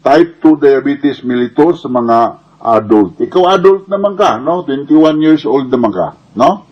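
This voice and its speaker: Man, Cebuano Bisaya accent, medical advice host, slow pace, middle pitch, casual teaching manner, frequent tag questions. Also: animated, lo-fi sound. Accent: Filipino, Cebuano Bisaya. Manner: didactic, punctuated with tag questions and code-switching into English medical terms. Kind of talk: casual explanatory advice talk.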